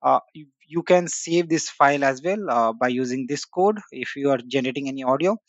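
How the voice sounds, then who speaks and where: A man's voice: medium pitch, fairly quick, no echo, muffled recording. A man hosting a casual screen-share tech tutorial, talking with many "uh" and "you know" fillers. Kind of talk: screen-share software walkthrough.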